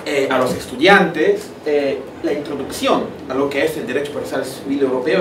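A man from Italy with an Italian accent, speaking Spanish as a second language, gives a formal, halting introduction as a professor. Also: on the high side. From Italy, Turin. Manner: halting, pausing between phrases. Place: wood-panelled university seminar room. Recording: roomy, distant, noisy lecture hall sound.

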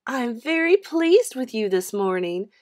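A woman voicing a patient, gently firm mother in a storybook, emphatic and coaxing. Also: fairly high pitch, big pitch swings, a little slow, pitched above the performer's own voice. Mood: pleased.

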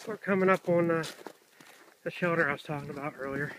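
A man's voice: a little high, steady tone, fairly bright tone, slight background noise, rough recording. Middle-aged man vlogging outdoors on a hike. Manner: chatty, halting with many fillers. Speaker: hiker filming his hike. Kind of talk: casual vlog narration. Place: snowy forest on a mountain, outdoors. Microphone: handheld camera at arm's length.

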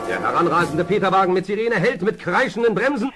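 A man's voice: sits high, animated, quick pace, loud music behind the voice. A man narrating a German children's audio drama in a dramatic, scripted style. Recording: clear vehicle noise behind.

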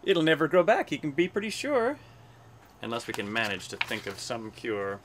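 A man voicing an earnest old male elephant from a storybook, deliberate and encouraging. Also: medium pitch, background noise, pitched above the performer's own voice, close to an everyday voice. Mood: grave.

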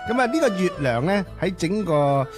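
A male radio co-host with a slightly high voice chats about literature. He speaks in emphatic short phrases, stretching the particles, over clear background music. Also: very animated, normal speed. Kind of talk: conversational literary commentary on radio. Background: music under the voice.

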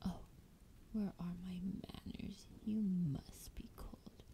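A woman playing a lonely, ancient female dragon, tender and teasing, in a breathy, intimate whispered ASMR roleplay. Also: on the low side, fairly even intonation, mellow. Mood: tender, welcoming. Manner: slow, coaxing, affectionate. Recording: clear background noise, ambience.

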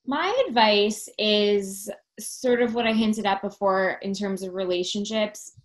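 A woman's voice: mid-range voice, steady tone, a little slow. A woman in her thirties, a talent producer speaking as a guest in a casual video-call interview, friendly and upbeat with many fillers. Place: home room. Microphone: laptop webcam mic on video call.

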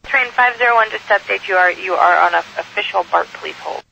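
Bright, high-pitched gravelly male radio dispatcher. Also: dull audio. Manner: brisk, directive. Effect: two-way radio. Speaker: train control dispatcher. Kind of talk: clipped radio dispatch instructions. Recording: faintly noisy police and transit radio.

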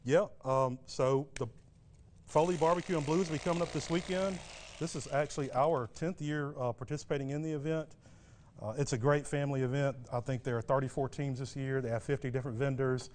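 A man's voice: mid-range voice, fairly even intonation, brisk, darkish tone. A middle-aged man giving a casual, instructive cooking demonstration as a guest barbecue cook, in a plain-spoken way. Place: TV studio kitchen set.

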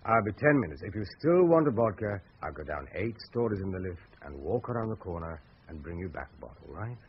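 An actor playing a polite, persistent, sceptical writer in a radio thriller, speaking a little hesitantly. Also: male voice, deep voice, sing-song, a little fast. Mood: matter-of-fact. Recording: muffled, noisy old radio drama recording.

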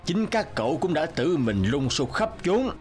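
A man playing an outspoken, scolding old village elder in an animated folk tale, admonishing as he tells what he knows. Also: fairly high pitch, moderate pace, soft background music.

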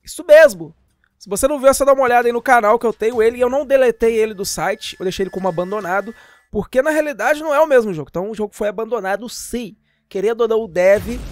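A man's voice: sits high, no room sound. A Brazilian man giving a casual, slangy, jokey voice-over as host of a gaming channel. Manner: chatty, jokey, informal. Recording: voice-over with music behind.

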